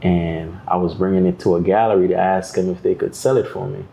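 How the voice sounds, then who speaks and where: A man's voice: sits low, a little fast, creaky, slight background noise. A man with a Jamaican accent, a guest artist telling stories in a podcast interview and acting out the voices of other people. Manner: animated storyteller, acts out voices of others.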